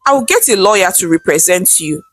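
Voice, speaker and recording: A woman playing a stern middle-aged male pastor who speaks slowly and reproachfully. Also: on the low side, expressive, a bit bright, no room sound. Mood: cold. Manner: slow, grave, reproachful.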